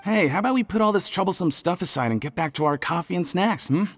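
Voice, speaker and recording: Friendly laid back pal in a game, husky, up and down delivery, echoey dream sound. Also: male voice, sits high, animated, quick pace, faint music behind. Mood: placating. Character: easygoing cheerful male friend from a dream. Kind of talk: video game voice acting. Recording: long dreamlike hall reverb.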